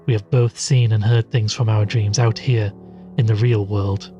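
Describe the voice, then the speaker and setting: A man playing a sceptical, weary investigator in an audio drama, measured and questioning as he talks and narrates. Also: lowish voice, fairly even intonation, warm tone, muffled recording, soft background music, natural voice. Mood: recapping. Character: sceptical, weary male investigator recounting strange events.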